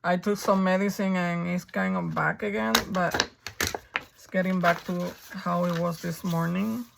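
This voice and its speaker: A woman with a raspy, hoarse voice from a coming flu, chatting her way through a crafting tutorial and thinking aloud as she works. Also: fairly low pitch, fairly even intonation, measured pace, very noisy. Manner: chatty, thinking aloud while working, frequent ums. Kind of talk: casual step-by-step crafting tutorial.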